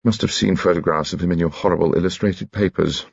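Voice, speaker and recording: A man's voice: low voice, steady tone, fast, vocal fry, slightly rough, dry recording, dull audio, full character voice. A man voicing a reserved, dry-humored male detective inspector. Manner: dry, exasperated.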